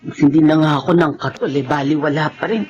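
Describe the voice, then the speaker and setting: An actor with a Filipino accent playing a fretful, grumbling, easily frightened villager in radio horror. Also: male voice, a little high, quick pace, darkish tone, muffled recording, lightly acted. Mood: grumbling, irritated.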